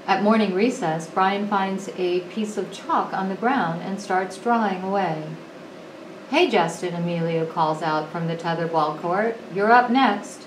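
Female storyteller, lower register, expressive picture-book read-aloud in an echoey room. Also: measured pace, few pauses. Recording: roomy echo with clear background noise.

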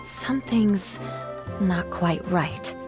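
A woman's voice: lowish voice, very slow. A woman voicing a proud, cautious young female knight in a video game, formal and composed, over music. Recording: music behind the voice.

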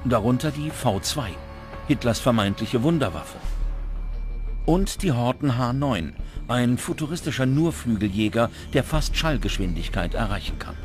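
A man reading scripted documentary narration with dramatic, measured phrasing. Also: mid-range voice, expressive. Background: background music.

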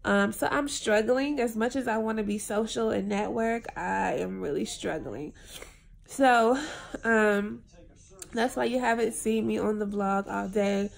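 Young woman vlogging from hotel bed, all stuffed up, tired and gravelly, phone at arm's length. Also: mid-range voice, measured pace. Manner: tired, laid-back, chatty. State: congested, unwell. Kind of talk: casual vlog to camera. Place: hotel room, lying in bed. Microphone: phone held at arm's length.

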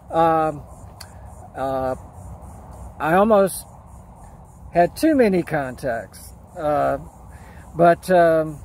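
An older American man with a fairly high voice narrates a casual vlog. He speaks slowly and haltingly, recorded outdoors on a handheld camera with faint noise around him. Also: darkish tone. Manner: halting, unhurried. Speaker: amateur radio operator and vlogger. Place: outdoors at a beachside park. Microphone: handheld action camera or phone at arm's length.

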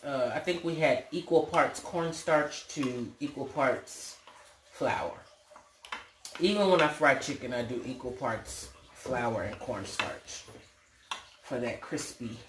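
A woman's voice: extremely low pitch, takes it slowly. A middle-aged American woman with a folksy, playful manner, casually demonstrating home cooking as the host. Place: indoors in a home kitchen. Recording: roomy, clearly noisy home recording with clicks.

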